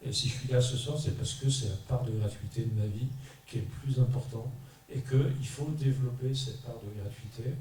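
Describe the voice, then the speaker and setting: A man from France speaks earnestly and deliberately about his work at a conference, with a dark, mellow voice. He sounds distant in a large, echoing hall with faint background noise. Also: medium pitch, fairly even intonation. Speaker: business leader speaker. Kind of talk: conference testimony. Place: large echoey parish hall. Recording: echoey hall, mic far off, faint noise.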